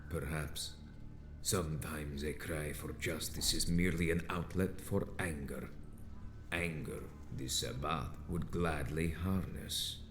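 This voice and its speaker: An actor playing an ancient, proud and vengeful vampire elder in a video game, speaking gravely in formal, archaic, theatrical phrases. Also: male voice, slow pace, reverberant, noisy background. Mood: scornful, grave. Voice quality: very deep, grave.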